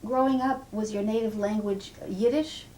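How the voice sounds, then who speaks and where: A woman interviewer with a mellow, warm tone asks short, plain questions about growing up. Her voice is off camera, with a faint hiss behind it. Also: medium pitch, steady tone, moderate pace, poor audio. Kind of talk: oral history interview.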